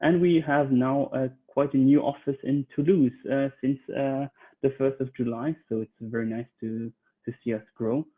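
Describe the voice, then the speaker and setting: Man from Switzerland, recruiter presenting from home, halting delivery full of fillers, thin phone-like audio. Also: medium pitch, steady tone. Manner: hesitant, many fillers and restarts. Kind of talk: online conference presentation. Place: home office.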